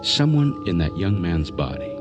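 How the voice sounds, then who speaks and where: A very deep male voice, playing a calm psychiatrist in a radio drama. He speaks in measured, clinical phrases, with music clearly playing behind him. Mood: composed, clinical. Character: composed male psychiatrist recounting a strange case. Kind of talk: radio drama narration and acting.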